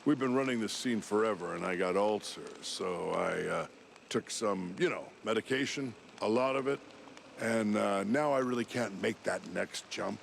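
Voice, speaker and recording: An actor playing a dazed, cocky cartoon mouse movie action hero who rambles in laid-back bro talk. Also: male voice, lowish voice, moderate pace, gravelly, slight background noise. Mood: woozy, evasive. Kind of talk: cartoon game acting.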